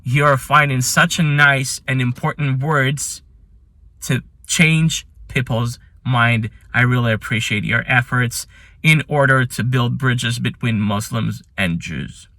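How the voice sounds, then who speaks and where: A man talks in English, which is not his first language, with an earnest, admiring tone and some halting, imperfect phrasing. His voice is bright and forceful, at a middle pitch for a man. It sounds very dry, close on the mic. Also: no room sound. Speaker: channel host. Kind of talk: ranking list commentary.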